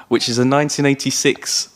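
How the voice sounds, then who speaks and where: Man chatting casually, often chuckling. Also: medium pitch. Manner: chuckles often while talking. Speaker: podcast co-host. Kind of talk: casual chat about films and books.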